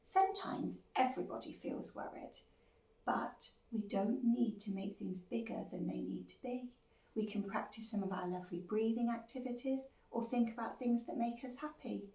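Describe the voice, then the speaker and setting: A woman reading a children's storybook aloud in an expressive style. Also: on the high side, normal speed, vocal fry. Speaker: story reader. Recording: echoey room, distant microphone.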